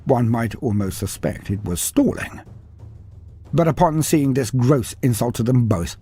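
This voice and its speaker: A man with a husky voice reading a fantasy tale in a dry, wry storytelling manner. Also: medium pitch, expressive, a little slow, dark tone, soft background music. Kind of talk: scripted fantasy narration.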